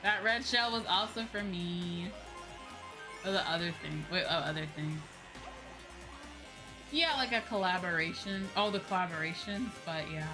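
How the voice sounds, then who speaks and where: Low-pitched woman streamer chatting over clear music. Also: normal speed, long pauses. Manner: informal, frequently swearing, rambling with asides. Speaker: livestreamer, VTuber host. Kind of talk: casual chatty gaming stream talk. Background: music behind the voice.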